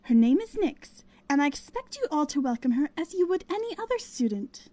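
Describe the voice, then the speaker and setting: A woman's voice: high-pitched, sing-song, a little fast, warm tone. A woman voicing a cheerful, kindly pony schoolteacher with a sing-song manner in an acted audiobook. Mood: cheerful, welcoming.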